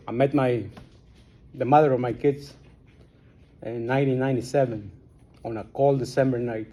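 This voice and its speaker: A man in his forties from Guatemala with a Guatemalan Spanish accent, speaking English as a second language and telling his recovery story at a meeting in a plain, halting way with many restarts. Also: medium pitch, fairly even intonation, mellow.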